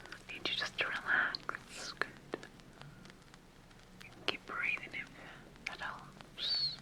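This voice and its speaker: A woman playing a calm, clinical sci-fi scientist in a soothing, methodical ASMR roleplay, speaking in a breathy whisper. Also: takes it slowly, natural voice. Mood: reassuring. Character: calm, clinical female sci-fi scientist examining her creation.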